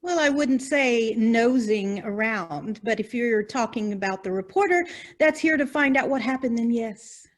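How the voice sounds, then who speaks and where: Woman acting pushy nosy reporter lady, really high voice, amateur farce. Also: animated, a little slow, hardly any pauses. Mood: assertive. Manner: inquisitive, pressing. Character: nosy, insistent female reporter with theories. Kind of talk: amateur stage farce acting over video.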